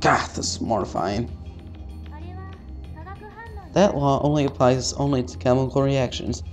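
A man playing a visual novel, reading its text aloud haltingly with casual, sweary commentary. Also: mid-range voice, a little mellow. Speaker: let's-player. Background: faint game music.

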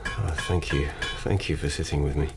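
A man playing a weakened, wounded policeman-warrior of old Japan in a radio drama, speaking haltingly and gravelly. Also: a bass voice, steady tone, over loud music. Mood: weak, grateful. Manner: slow, halting, terse. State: weak, recovering from beating and fever.

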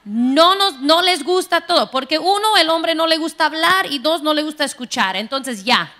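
A middle-aged woman pastor from Texas preaching a sermon on a large church stage into a handheld mic, animated and playful, acting out quoted voices. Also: high voice, normal speed. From Texas, United States. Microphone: handheld microphone.

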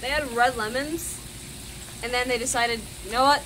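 A woman's voice: fairly high pitch, fairly even intonation, normal speed, noisy background. A woman making casual, joking comments outdoors, picked up by a camera mic a short way off. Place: outdoors on a garden bench.

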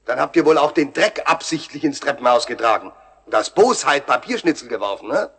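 An actor playing a stern, officious building manager in a children's radio play. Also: male voice, mid-range voice, animated, a little fast, fairly bright tone, slightly rough.

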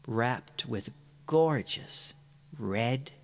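A man narrating a scripted guided meditation in a soothing, gentle manner. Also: mid-range voice, lively intonation, gravelly. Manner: soothing, unhurried, gentle phrasing.

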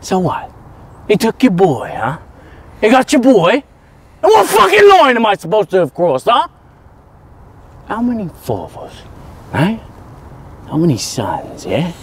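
An actor playing a volatile gangster boss who swears constantly and swings from shouting to menacing hushed rambling. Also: male voice, high voice, wildly swooping pitch, unhurried, long pauses, husky, heavily acted. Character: volatile London gangster boss, middle-aged man. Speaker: gang leader. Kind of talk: TV crime drama acting.

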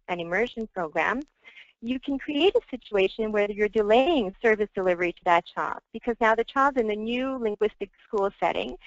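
Woman presenting a webinar, clear explainer tone, kinda muffled dry audio. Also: medium pitch, no room sound. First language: French. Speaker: speech language pathologist.

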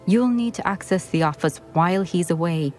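Woman voicing a stern old headmistress, formal, composed, soft music behind. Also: on the low side, normal speed, lightly acted. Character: stern former headmistress in a portrait. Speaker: game character.

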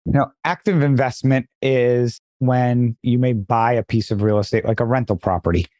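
A man co-hosting a podcast, explaining things in a measured way with a slightly froggy voice. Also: mid-range voice, a little mellow, no room sound. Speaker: podcast co-host. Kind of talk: casual podcast chat.

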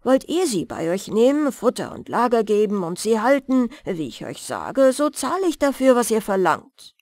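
A woman's voice: on the high side, very animated, moderate pace, a little mellow, no echo, studio quality. Woman reading as a firm storybook young hunter. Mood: stern. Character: young male hunter, stern but soft-hearted.

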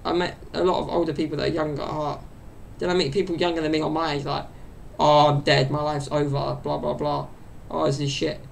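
A young man in his twenties talks on a solo podcast, meandering loosely and stuffing his talk with fillers. His voice sits fairly high with a gravelly, fry-edged texture. A faint low rumble hangs under the desk mic off to his side. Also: flat delivery, fairly quick, few pauses. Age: 20s. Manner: chatty and meandering, full of fillers. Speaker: podcast host. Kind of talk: rambling solo podcast chat. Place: small bedroom at home. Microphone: desk mic set off to the side.

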